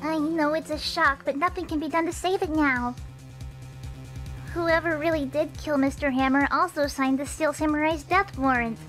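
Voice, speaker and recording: A woman voicing an earnest, expressive young woman in an amateur fan dub of a mystery game. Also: high-pitched, moderate pace, soft background music. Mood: regretful.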